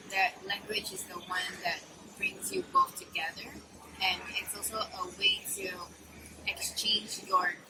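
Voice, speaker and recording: A woman's voice: medium pitch, noisy background. A young woman from Malaysia with a Malaysian accent answers interview questions casually, thinking aloud in short, hesitant phrases. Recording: music playing behind the talk.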